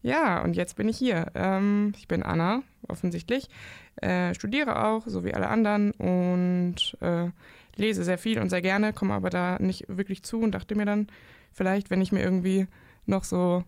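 A woman's voice: medium pitch, fairly even intonation, moderate pace, creaky, a little mellow, no room sound, clean studio sound. A 24-year-old woman, a student and new co-host, chatting casually about a novel with lots of fillers. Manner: chatty, self-deprecating, many fillers. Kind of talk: casual chat.

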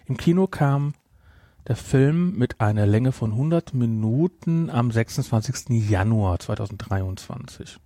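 A man hosts a casual podcast in a mid-pitched voice. He speaks haltingly and often corrects himself. The sound is dry, with no room on it. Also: lively intonation, mellow, no room sound. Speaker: podcast host.

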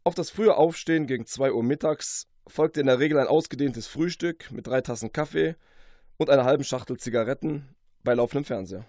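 Male narrator for humorous fantasy audiobook, mid-pitched, formal mock-epic delivery, very dry recording. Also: a little fast, mellow, dry recording. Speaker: author reading from his own book. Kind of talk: scripted literary narration of a comic fantasy parody.

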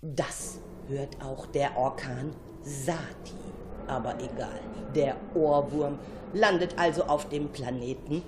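A woman narrating a children's story in an expressive, dramatic, suspenseful way. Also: extremely low pitch, slow, noisy background. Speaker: storyteller, radio show narrator.

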